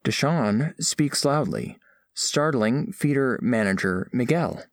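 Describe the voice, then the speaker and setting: A man playing a formal, scholarly alien diplomat, narrating audio fiction in measured, precise phrases. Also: medium pitch, animated, no echo. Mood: grave, puzzled.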